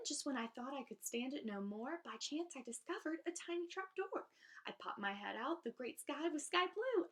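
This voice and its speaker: A woman with a high voice reads children's verse aloud in an expressive, theatrical style. Her pitch swings widely as she leans on key words, like a host reading to young listeners. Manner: animated, theatrical, emphatic delivery for children.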